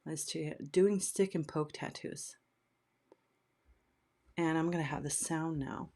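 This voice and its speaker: A woman's voice: sits low, steady tone, slow, darkish tone. A middle-aged woman from Sweden, a native Swedish speaker, hosting a casual live stream and chatting as she reads out viewer questions. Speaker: host.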